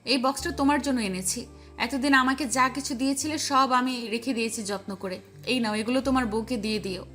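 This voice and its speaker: A woman's voice: fairly high pitch, brisk, a bit bright, lightly acted. A woman playing a feisty, stubborn, scorned young girlfriend in an audio love story, scolding and insistent. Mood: hurt, cool. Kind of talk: acted dialogue in an amateur audio love story. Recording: voice over background music.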